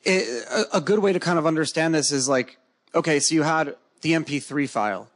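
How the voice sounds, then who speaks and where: A man whose first language is Hebrew, hosting a casual onstage interview at a conference with a handheld mic, talking in a joking, lively way. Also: a little high, brisk. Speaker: podcast host. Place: conference stage before a live audience.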